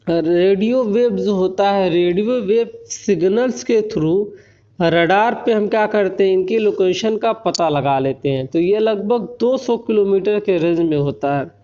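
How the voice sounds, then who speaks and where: An Indian man giving an explanatory technical lecture, often pausing to check that listeners follow. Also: high voice, dark tone. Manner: frequent check-in tags while explaining. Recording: dull lo-fi recording.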